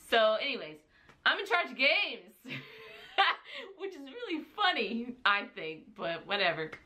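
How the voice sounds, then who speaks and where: A woman's voice: mid-range voice, pushed and bright. A young adult woman chatting casually to camera as a vlogger, rambling in a giggly, self-deprecating way.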